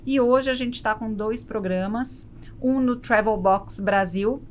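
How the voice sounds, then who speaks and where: A woman's voice: on the high side, unhurried. A Brazilian woman speaking conversationally as an interview guest, explaining her work. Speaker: podcast guest, travel presenter. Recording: faint background noise and ambience.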